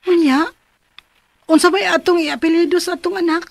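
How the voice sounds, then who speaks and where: An actress with a Cebuano Filipino accent playing a troubled, earnest young pregnant wife in a radio drama. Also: female voice, extremely high pitch, fairly even intonation, moderate pace, gravelly, no echo. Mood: worried, insistent. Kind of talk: radio drama acting and letter narration.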